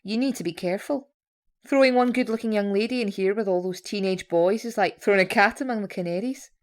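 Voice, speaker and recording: A woman's voice: mid-range voice, fast, natural voice. An actress playing a protective, outspoken woman doctor with a blunt manner in an audio drama. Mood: kindly concern.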